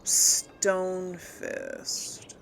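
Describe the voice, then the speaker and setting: Slow, gravelly-edged woman gamer thinking aloud. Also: on the low side, huge pitch swings, slight background noise. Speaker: gamer, host of a role-play let's play. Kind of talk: casual game commentary.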